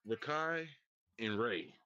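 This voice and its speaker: A man's voice: medium pitch, expressive, slow pace, sharp tone. Laid-back man on a dull lo-fi mic. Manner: laid-back, casual cursing, hesitant fillers. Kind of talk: casual livestream chat.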